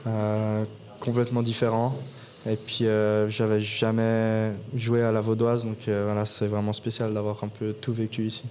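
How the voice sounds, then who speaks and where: A young man in his twenties, a guest hockey player, giving even, unhurried interview answers with frequent pauses at a live podcast event in a bar. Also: lowish voice.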